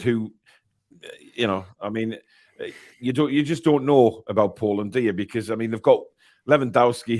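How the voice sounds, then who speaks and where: A man's voice: lowish voice, a little mellow. A man hosting a casual live football stream, chatty and hesitant, reading out chat messages and poll results. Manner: chatty, upbeat when reading chat and polls, hesitant fillers. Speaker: live-stream show host.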